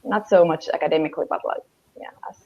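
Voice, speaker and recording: A young Finnish woman speaks English with a Finnish accent, her voice set a little low for a woman. She halts often and fills gaps with "uh" while she searches for words. The sound is dull and lo-fi, like a home video call. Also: fast. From Finland. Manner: hesitant, searching for English words, many fillers. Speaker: interviewee. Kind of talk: casual interview answers. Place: small room at home, indoors.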